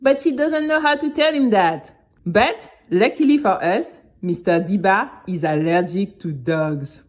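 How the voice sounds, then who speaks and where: Woman acting young girl, chatty, nervous, expressive first-person audio drama narration, medium pitch, slow pace. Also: close to an everyday voice. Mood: confiding. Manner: conversational, expressive storytelling with exclamations.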